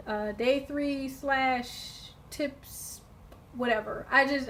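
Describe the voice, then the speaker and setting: A woman in a casual vlog, her voice slightly hoarse from screaming, chatting in a rambling way with lots of fillers and restarts. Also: high-pitched, slight background noise. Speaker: vlogger.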